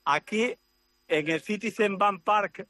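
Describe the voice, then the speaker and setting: A man with a Spain Spanish accent reporting live for a sports radio show, talking in a chatty, animated way as he walks outdoors through a city. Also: high-pitched, takes it slowly.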